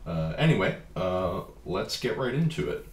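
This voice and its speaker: A man with a stuffy head cold, calmly walking through a drawing program step by step in a tutorial. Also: deep voice, moderate pace, echoey room. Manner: relaxed, plain step-by-step explaining. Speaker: comic artist giving a software tutorial. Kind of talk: screen-recorded software walkthrough.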